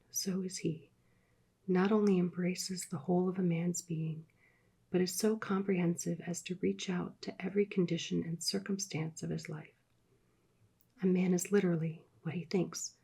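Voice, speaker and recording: A woman reading aloud in a soft, soothing, soft-spoken ASMR style that often drops into whispers. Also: lowish voice, vocal fry, husky. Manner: soft, slow, drifting into whispers. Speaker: ASMR reader.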